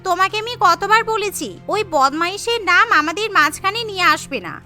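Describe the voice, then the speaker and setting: Woman playing a high-voiced doting rich girlfriend. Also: lively intonation, brisk, bright, forceful tone, faint music behind, exaggerated voice. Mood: miffed, doting. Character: doting rich widowed girlfriend aged fifty. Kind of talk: cartoon voice acting.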